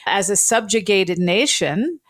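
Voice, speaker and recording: A woman's voice: mid-range voice, lively intonation, measured pace. A woman, speaking as an interviewer and linguist who explains ideas in an articulate, teacherly way. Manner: articulate, didactic.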